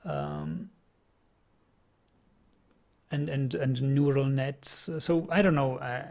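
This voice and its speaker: Halting Czech-accented man with gravelly voice. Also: mid-range voice, fairly even intonation, darkish tone. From Czech Republic. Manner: halting, repeats words while thinking aloud. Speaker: programming streamer. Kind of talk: casual live-stream chat.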